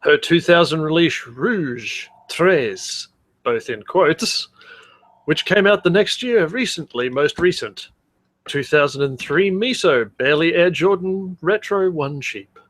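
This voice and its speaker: A man's voice: fairly high pitch, expressive, takes it slowly, fairly bright tone, poor audio. A man co-hosting a comedy podcast, chatting casually in a blunt, crude manner. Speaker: podcast co-host.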